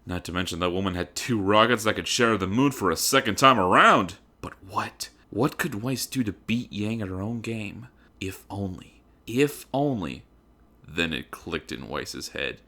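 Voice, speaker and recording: A man's voice: on the low side, animated, moderate pace, bright, forceful tone. A man narrating a comedic dramatic reading in a theatrical, emphatic, mock-serious manner.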